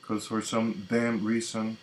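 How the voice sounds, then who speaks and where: A man from the Dominican Republic with a Dominican Spanish accent, a native Spanish speaker, hosting a live tarot reading in a slow, reflective, casual way. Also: lowish voice, level tone. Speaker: tarot reader. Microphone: computer mic on a live stream. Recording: echoey room with faint noise.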